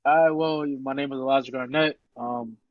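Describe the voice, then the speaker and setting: A man's voice: mid-range voice, steady tone, moderate pace, no room sound. Brief: young New guy, easygoing guest, constant "you know" filler, muffled lo-fi, dead-dry room. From Queens, New York. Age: young adult. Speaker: podcast guest, high school football player. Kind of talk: casual interview chat. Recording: dull, dry lo-fi sound.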